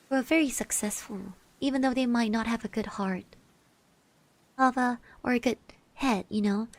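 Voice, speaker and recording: Woman for ASMR roleplay: soft-spoken, reassuring, unhurried delivery, close and dry on the mic. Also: fairly high pitch, no echo. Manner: gentle, reassuring, hesitant musing with pauses and soft hums. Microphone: close microphone, dry.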